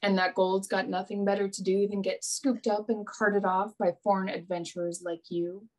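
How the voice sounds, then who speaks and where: A woman's voice: mid-range voice, little pitch movement, moderate pace, darkish tone, close to an everyday voice, poor audio. A woman playing a brash, wry young male adventurer in a radio play, with a dry, teasing manner. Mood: wry, skeptical.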